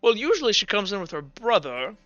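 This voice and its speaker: A man voicing a gruff, curt food keeper in a tabletop game, giving blunt, short answers. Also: sits high, very animated, fairly quick, bright, forceful tone, no echo, muffled recording, soft background music, exaggerated voice. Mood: matter-of-fact.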